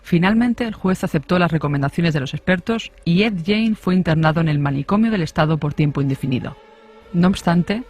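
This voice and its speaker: A man's voice: on the high side, darkish tone, slightly rough. A man reading a scripted true-crime radio narration.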